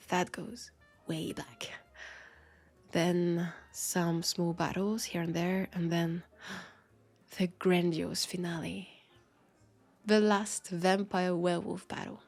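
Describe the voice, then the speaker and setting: A woman playing a centuries-old female vampire detective speaks with a French accent and a creaky, husky voice. She is wry, playful and battle-weary, taking her time as in an intimate audio roleplay. Soft music plays behind her. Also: lowish voice. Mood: nostalgic, wry. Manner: unhurried, conversational, with pauses and sighs.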